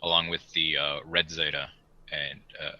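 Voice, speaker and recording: Very deep, sharp-voiced man. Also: flat delivery, moderate pace. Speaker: podcast host. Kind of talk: casual chat.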